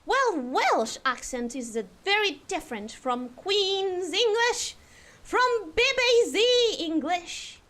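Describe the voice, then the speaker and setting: High-voiced woman in a put-on posh accent. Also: lively intonation, a bit bright. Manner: theatrical, drawn-out delivery. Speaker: streamer. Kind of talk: casual live-stream chat.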